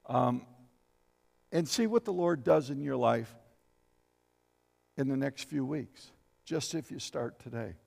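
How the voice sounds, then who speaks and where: A gravelly-voiced man in his seventies preaches from the pulpit of a church, with a long reverb hanging after his words. He reads verses aloud and asks the congregation questions. His pitch sits in an ordinary middle range for a man. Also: takes it slowly, pauses often, darkish tone. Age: 70s. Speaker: pastor. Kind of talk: sermon. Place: church sanctuary.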